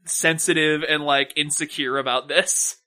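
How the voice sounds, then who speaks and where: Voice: mid-range voice, fairly even intonation, measured pace, pushed and bright, rough recording. A podcast co-host giving casual film commentary in a wry, sarcastic and opinionated way.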